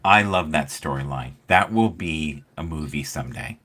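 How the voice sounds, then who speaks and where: A man's voice: rumbling low, lively intonation, normal speed, a bit bright. A man hosting a casual fantasy football chat, playful and quizzing the others.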